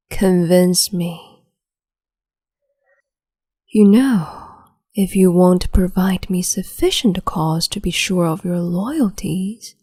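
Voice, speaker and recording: Mean empress for asmr, breathy low whisper, super slow and mellow. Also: female voice. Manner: whispered, slow, teasing and domineering. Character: cruel capricious female empress, adult. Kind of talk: ASMR audio roleplay.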